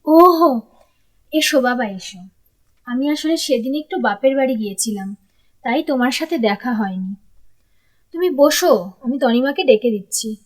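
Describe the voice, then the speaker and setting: Husky, high-voiced, kindly mother played by an actress. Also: lively intonation, a little slow, pauses often. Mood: welcoming. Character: kindly, coaxing middle-aged mother, welcoming and trusting. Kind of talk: audio drama dialogue.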